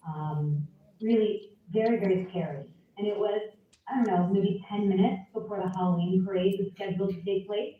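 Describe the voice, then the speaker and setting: A woman giving public comment as a resident, earnest and polite, halting with fillers. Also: medium pitch, fairly even intonation. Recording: tinny, echoey, from far off.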